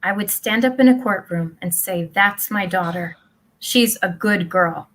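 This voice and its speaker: A woman's voice: medium pitch, a little slow, fairly bright tone, lightly acted. A woman playing a blithely supportive middle-aged mother in a live play reading, placid and reassuring.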